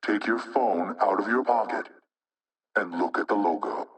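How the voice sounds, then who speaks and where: An actor playing an anonymous male hacktivist spokesman in a video game propaganda broadcast, speaking in a measured, rhetorical, insinuating way with menace. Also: on the low side, distant mic, lightly acted, low-quality recording. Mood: accusing.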